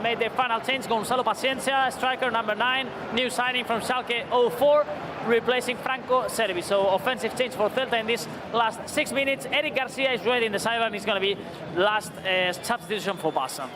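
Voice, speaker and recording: A man's voice: very high voice, steady tone, brisk, few pauses. A man giving live football reports and analysis in an explanatory, hesitant way with non-native phrasing. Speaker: match reporter on a live show. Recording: clear background noise.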